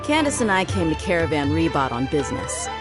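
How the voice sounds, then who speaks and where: A woman's voice: deep voice, very animated, a little fast. An actress playing a brash, easygoing female mercenary who jokes and laughs easily in a video game. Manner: breezy, joking, laughs easily. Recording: game music behind.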